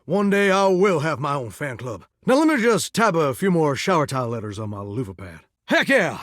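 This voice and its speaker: A man voicing an earnest, excitable shower come to life as a folksy country singer with dreams, in an American Southern accent, for a video game. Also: on the high side, moderate pace, dry recording, studio quality. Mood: determined, folksy, then excited, jittery. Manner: folksy, earnest, flirty drawl with dramatic swoops. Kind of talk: video game character voice acting.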